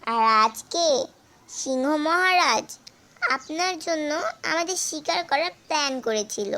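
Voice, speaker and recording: A very high-pitched cartoon mother goat, played by a woman, sly and protective. She talks in a coaxing, glib way, sweet-talking like a born persuader. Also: animated, measured pace, sharp tone, heavily acted. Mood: sly flattery. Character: clever mother goat, sly flatterer protecting her kid. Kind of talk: animated fable character acting.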